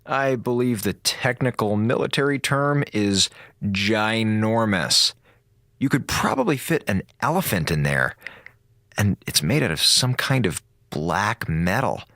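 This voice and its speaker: Low-voiced wisecracking space officer. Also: male voice, expressive, unhurried, a bit bright, dry recording, clean studio sound. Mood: musing, curious. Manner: casual, sardonic, muttering asides. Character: wisecracking, laid-back male space station officer. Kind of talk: radio drama acting.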